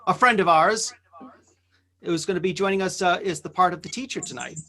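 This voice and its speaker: A man with a high-pitched, bright voice acts as podcast host. He speaks casually and haltingly, feeling his way through an informal introduction to a live event. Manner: halting, hesitant delivery.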